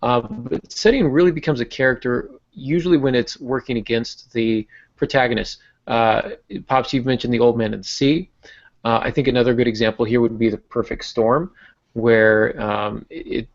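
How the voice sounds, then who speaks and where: American male host, creaky mid-pitched voice, conversational teaching, halting and thoughtful, slightly muffled audio. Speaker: podcast host and novelist. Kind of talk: conversational writing instruction.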